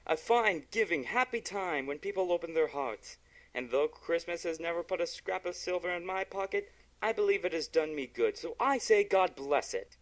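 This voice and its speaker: An actor playing a cheerful, warm-hearted young nephew in a radio play, earnest and hearty. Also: male voice, a little high, expressive, moderate pace, close to an everyday voice. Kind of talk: radio drama acting.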